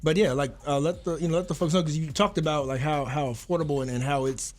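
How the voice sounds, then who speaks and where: Man, host, slightly high voice, rambling, upbeat. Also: fairly even intonation, fast talker. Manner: rambling, many fillers and restarts, upbeat. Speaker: talk show host. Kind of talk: casual talk show hosting.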